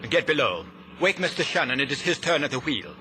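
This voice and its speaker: Menacing, formal treasure hunter, noisy recording. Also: male voice, on the high side, very animated, fairly quick, bright, forceful tone. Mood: formal, suspicious. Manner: formal, clipped, stilted phrasing. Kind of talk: 1950s radio drama acting. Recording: noisy old radio recording.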